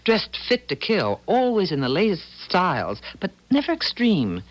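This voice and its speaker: Low-voiced, fretful doctor's wife. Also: female voice, wildly swooping pitch, normal speed, low-quality recording. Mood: worried, confiding. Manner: confiding, fretful, folksy. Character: fretful, plain-spoken small-town doctor's wife. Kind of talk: 1970s radio drama acting. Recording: 1970s radio broadcast recording.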